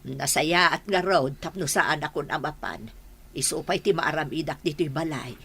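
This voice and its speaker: A woman playing a tired, loving, hardworking poor mother, speaking softly in an audio drama. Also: low voice, moderate pace, breathy edge, heavily acted. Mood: gentle, resigned. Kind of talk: Ilocano radio-style drama.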